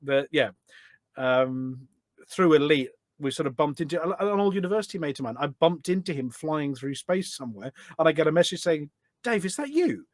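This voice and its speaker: A middle-aged man chatting casually as a podcast guest, telling stories in an animated way. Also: on the high side, normal speed, dry recording. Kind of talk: casual anecdotal chat.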